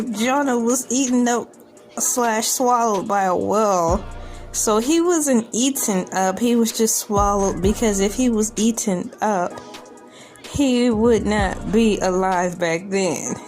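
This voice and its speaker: A woman's voice: mid-range voice, a little mellow. A playful, easily amused woman hosting an online video, reading a news article aloud and commenting on it casually. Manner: playful, giggly, easily amused by what she reads.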